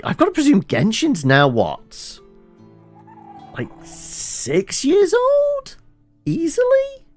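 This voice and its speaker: A British man giving casual, chatty live commentary as he plays a game, with wry asides. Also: medium pitch. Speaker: game streamer.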